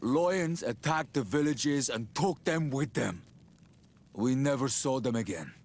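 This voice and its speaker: An actor playing a grave, distrustful rebel chief in a fantasy video game, stern and measured. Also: male voice, medium pitch, expressive, darkish tone.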